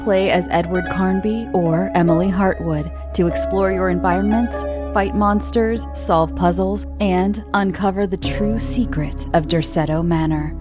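Woman, low pitch, scripted promo narration, advertisement voice-over, clear music bed. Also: steady tone, measured pace, hardly any pauses.

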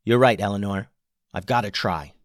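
A man voicing a bold, fiery young girl with magic powers, who talks loudly and impatiently in a storybook reading. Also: fairly low pitch, normal speed, no room sound, clean studio sound, natural voice. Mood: commanding. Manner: loud, exclaiming, impatient.

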